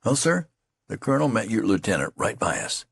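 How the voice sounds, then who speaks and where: A man voicing an excitable young soldier who gossips in rustic dialect. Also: fairly low pitch, brisk, gravelly, breathy edge, dry recording, clean studio sound, voice pushed up, exaggerated voice. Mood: excited. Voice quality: breathless, eager country gossip. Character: excitable young male soldier bringing news.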